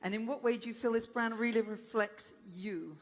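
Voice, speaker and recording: A woman's voice: fairly high pitch, normal speed. A woman hosting a live Q&A at a launch event, keeping things light and joking as she reads out questions. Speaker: event host and moderator. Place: large indoor launch event. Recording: reverberant event hall.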